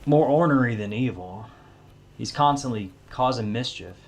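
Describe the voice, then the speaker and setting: An actor playing a folksy, plainspoken country widower, a weary haunted homeowner, in an audio drama. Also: male voice, mid-range voice, animated, moderate pace. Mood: exasperated, ornery. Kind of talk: audio drama character dialogue.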